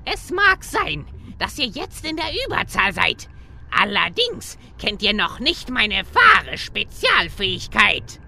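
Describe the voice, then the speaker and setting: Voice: mid-range voice, wildly swooping pitch, slow, gravelly, bright, forceful tone, a little hiss or hum, exaggerated voice. A performer playing a pompous, easily offended little male villain, theatrical and indignant, in a comedy audio drama.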